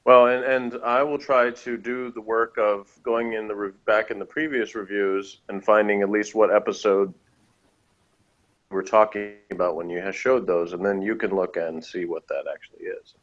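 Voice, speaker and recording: A man co-hosting a casual review chat, talking in a rambling, halting, self-deprecating way. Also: on the low side, level tone. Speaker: co-host of a TV review show. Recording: dull, lo-fi audio.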